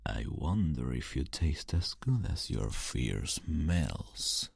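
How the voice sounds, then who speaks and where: A man playing a sadistic, taunting vampire in a dark ASMR roleplay, theatrical and mock-polite. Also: rumbling low, big pitch swings, slow pace, vocal fry, slightly rough, no room sound, studio quality, heavily acted. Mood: menacing, predatory.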